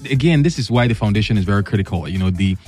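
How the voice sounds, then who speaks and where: A man's voice: fairly low pitch, expressive, very fast, darkish tone, husky, soft background music. A young man, co-hosting a radio show and joking around with his partner. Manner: jokey, mock-threatening, laughs a lot. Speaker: radio co-host.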